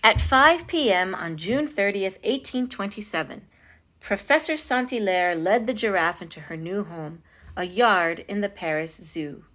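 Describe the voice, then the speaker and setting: A woman reading a picture book aloud as a storytime host, in an expressive, dramatic style with stressed phrases. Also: medium pitch, unhurried. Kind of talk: expressive children's read-aloud.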